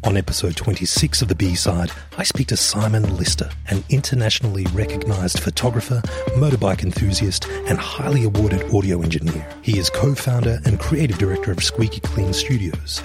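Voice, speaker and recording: A man hosting a podcast, reading a scripted intro and then chatting casually in a recording studio. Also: low-pitched. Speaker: podcast host.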